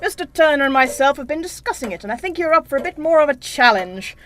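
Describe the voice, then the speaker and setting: A woman's voice: high voice, animated, fast talker, a bit bright. A woman playing a genteel, pompous male office boss in a comic gothic audio drama, with formal theatrical delivery. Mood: brisk, pompous.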